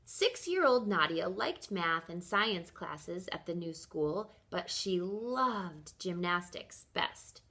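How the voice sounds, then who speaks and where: A woman reading a children's picture book aloud like a teacher, with expressive, deliberate storytelling emphasis. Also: lowish voice.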